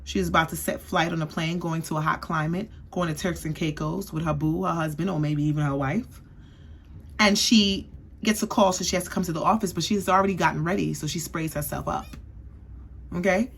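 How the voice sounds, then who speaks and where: A woman's voice: on the low side, steady tone, fairly quick, vocal fry, a little hiss or hum. A young woman from the East Coast giving a chatty, sassy perfume review, often checking in with "okay?". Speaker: beauty vlogger.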